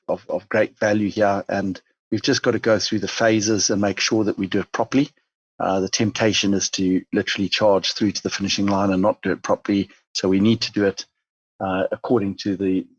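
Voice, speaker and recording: A man with a Zimbabwean accent, an executive answering interview questions in a measured way, with many fillers and restarts. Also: fairly low pitch, husky, muffled recording. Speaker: mining company chief executive. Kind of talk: business interview.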